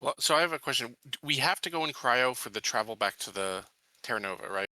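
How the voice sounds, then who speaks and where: A man's voice: medium pitch, animated, fast, pushed and bright, dry recording, rough recording. A man playing a tabletop roleplaying game, speaking casually in character.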